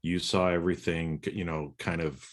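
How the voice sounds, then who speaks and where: A man's voice: sits low, level tone, normal speed. A man hosting a podcast, asking questions in a rambling, repetitive way. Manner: rambling, repeats words while framing questions. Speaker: podcast host. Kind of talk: conversational interview.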